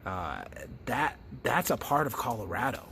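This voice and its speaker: An American man telling reflective personal stories as a storyteller, speaking haltingly and often repeating words as he searches for the next phrase. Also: medium pitch, animated, creaky, sharp tone, slight background noise. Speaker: comedian and storyteller.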